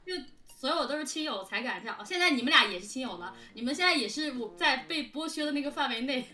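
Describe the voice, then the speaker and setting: High-voiced woman, playful host. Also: animated, fast, music in the background. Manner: playful, teasing. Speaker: host and audio drama director. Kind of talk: casual banter on a group chat show.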